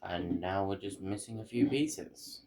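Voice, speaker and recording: A man's voice: low voice, a little slow, a little hiss or hum. A man doing casual gameplay commentary, muttering and thinking aloud to himself as he plays, as a gaming video host. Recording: loud game music behind voice. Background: video game music.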